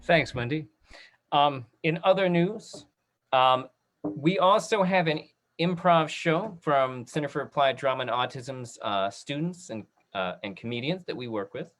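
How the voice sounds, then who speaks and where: A man hosting an informal virtual Q&A and making announcements, halting and apologetic as he repeats and restarts his phrases. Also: on the high side, a bit bright. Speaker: host moderating an audience Q&A. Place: online video call.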